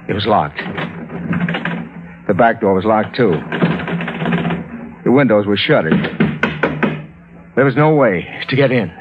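An actor as a haunted, low-voiced man narrating. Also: natural voice. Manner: dramatic, troubled. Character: haunted, bewildered man narrating his own strange story. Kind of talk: 1950s radio drama narration. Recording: dull vintage radio, loud music behind.

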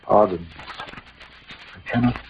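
A man's voice: lowish voice, noisy background. An actor playing a proud, defiant, poetic dying swordsman in theatrical, declamatory 1940s radio verse style. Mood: wry, fading. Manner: declamatory, grandiloquent, dramatic pauses. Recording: muffled vintage radio recording, music behind.

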